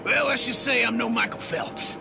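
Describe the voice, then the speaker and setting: An actor playing a brash, wisecracking slacker sidekick in a video game, with a loose, slangy, joking manner. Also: male voice, very high voice, moderate pace, vocal fry, heavily acted. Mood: joking, casual. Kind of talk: scripted game dialogue. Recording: loud game music behind.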